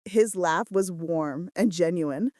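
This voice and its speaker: A woman's voice: fairly low pitch, slow, mellow, no echo, clean studio sound. A woman reading scripted first-person romance fiction as a story narrator. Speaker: audiobook narrator.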